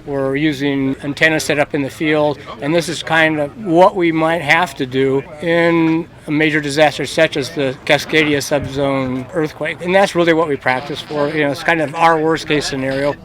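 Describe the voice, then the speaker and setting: A man's voice: fairly high pitch, steady tone, moderate pace, hardly any pauses, a bit bright. A man, a radio volunteer, explains things in an interview style at an outdoor field event.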